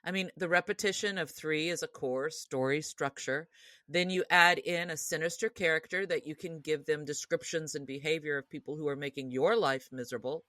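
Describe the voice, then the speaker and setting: A woman with a distinctly low voice co-hosts a podcast. She talks in a casual, reflective way, as in a relaxed discussion. The sound is dry and close. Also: brisk, no echo. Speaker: podcast co-host.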